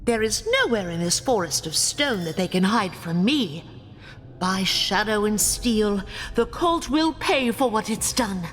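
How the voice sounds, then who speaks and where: A woman's voice: mid-range voice, expressive, measured pace, a bit bright. An actress playing a young female wood elf warrior, cool, terse and determined. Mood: resolute. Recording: reverberant with game music behind.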